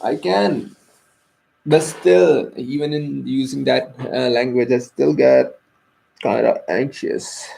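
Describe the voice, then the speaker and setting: A young Indian man speaks slowly and haltingly, stopping often for fillers and fresh starts. His voice is gravelly with a lot of fry. He talks off the cuff to the camera, like a personal video diary. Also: medium pitch, fairly even intonation, dark tone, a little hiss or hum, poor audio. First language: Hindi. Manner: hesitant, many fillers and restarts. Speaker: vlogger. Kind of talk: unscripted personal vlog.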